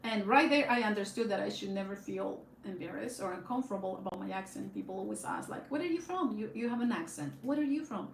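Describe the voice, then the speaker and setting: A woman's voice: mid-range voice, expressive, quick pace, gravelly, few pauses. A woman with a Spanish accent, chatting casually on a live stream in a rambling way full of fillers and restarts, recorded on a phone on a tripod. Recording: echoey room with faint background hiss.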